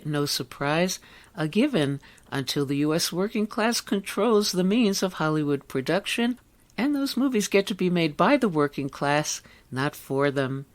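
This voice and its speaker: A woman hosting a radio show, reading scripted narration in a measured, wordy manner. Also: on the low side.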